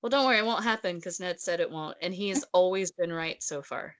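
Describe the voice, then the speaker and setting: Low-pitched woman, blunt casual book talk. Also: a little fast. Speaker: podcast co-host discussing a novel. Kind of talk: casual opinionated book discussion.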